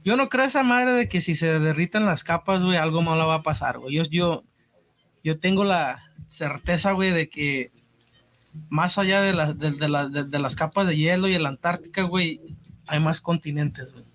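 Higher-voiced Mexican man, slangy chat. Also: moderate pace, a bit bright. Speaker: podcast co-host. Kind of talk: casual podcast chat.

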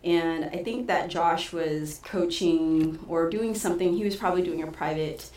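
A woman who coaches and promotes boxing, telling her story in a soft-spoken, casual way. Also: on the low side, normal speed. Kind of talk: casual interview answers. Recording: echoey room.

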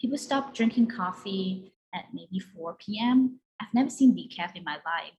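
A woman's voice: mid-range voice, animated, moderate pace, darkish tone, low-quality recording. A woman from Malaysia answering interview questions casually as a guest, chatty and often saying "like". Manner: chatty, peppers speech with "like". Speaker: guest, coffee company co-owner. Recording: clear background noise.

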